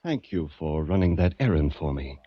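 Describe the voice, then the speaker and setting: A man's voice: rumbling low, big pitch swings, moderate pace, loud music behind the voice. An actor playing a menacing, impatient gunman in a 1940s radio drama, curt and demanding. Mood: threatening. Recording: muffled vintage 1940s radio recording.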